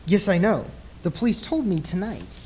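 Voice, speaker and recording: A man playing an earnest, grave nightclub mentalist in an old-time radio play, speaking formally and deliberately in a husky voice. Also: sits high, big pitch swings, darkish tone, slight background noise, low-quality recording. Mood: grave, insistent.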